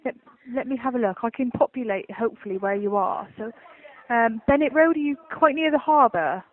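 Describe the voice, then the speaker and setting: A woman's voice: on the high side, brisk. A woman handling an emergency call, speaking unscripted and repeating words as she goes. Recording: muffled noisy emergency phone line.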